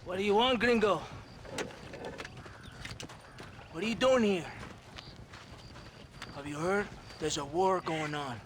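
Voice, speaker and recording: An actor playing a proud, belligerent soldier with a Mexican accent and a taunting manner in a western game. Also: male voice, high-pitched, moderate pace, fairly bright tone, slight background noise. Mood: hostile. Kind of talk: western video game acting.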